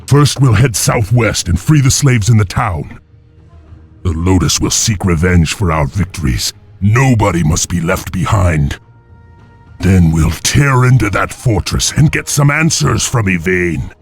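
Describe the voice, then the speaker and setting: Resolute clan chief for a fantasy game: low, solemn, commanding, music underneath. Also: male voice, animated, a little slow, slightly rough, exaggerated voice. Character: resolute male wolf clan leader, noble and stern. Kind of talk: video game voice acting.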